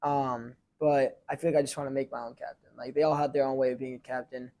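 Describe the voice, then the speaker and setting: A teenage boy talks about being a sports team captain in a relaxed, mellow voice. He wanders through his answers, restarting sentences and filling gaps with "like" and "I feel like." His tone is casual and unpolished. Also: medium pitch, fast. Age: teens. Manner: rambling, lots of fillers and restarts. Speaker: high school lacrosse goalie and captain.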